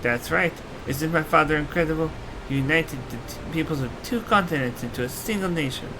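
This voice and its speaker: A man's voice: on the high side, fairly quick, lightly acted, poor audio. A man voicing a proud, eager young princess from a fantasy game, explaining her homeland earnestly. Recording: game music under the voice.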